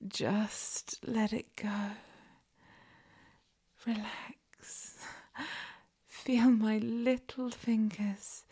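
Breathy, slow-talking sultry masseuse acted by a woman. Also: medium pitch, darkish tone, heavily acted. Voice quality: breathy, often whispering. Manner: slow, soothing, sultry delivery. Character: flirtatious, fawning adult masseuse doting on a client. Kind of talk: erotic audio roleplay, ASMR-like.

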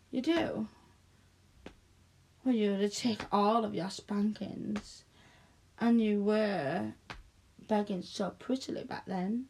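A woman speaking softly and coaxingly in an intimate audio roleplay, close to the mic, often dropping to a whisper. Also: a little high, a little hiss or hum. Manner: soft, coaxing, slow and breathy with frequent whispers.

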